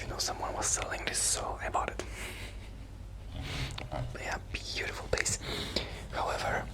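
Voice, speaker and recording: A man playing a very low, soft-spoken shy musician friend. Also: low-quality recording. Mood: quiet, confiding. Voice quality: breathy soft voice, often whispering. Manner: hesitant, halting, casual. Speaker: ASMR roleplay performer. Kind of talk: soft-spoken ASMR roleplay, rambling.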